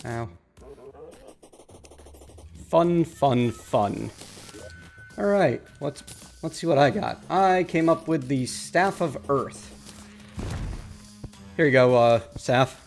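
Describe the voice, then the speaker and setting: A man's voice: mid-range voice, lively intonation, slow pace, gravelly, pauses often, soft background music. A man hosting a casual multiplayer gaming chat, upbeat and explaining items to friends. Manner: upbeat, explains game items, laughs easily. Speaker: host.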